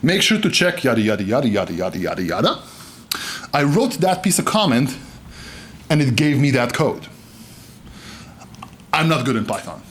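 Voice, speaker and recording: Animated man giving a keynote. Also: mid-range voice, moderate pace, pauses often. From Serbia. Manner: animated, joking, gestures broadly. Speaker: keynote speaker. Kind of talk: conference keynote talk. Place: conference stage, indoors.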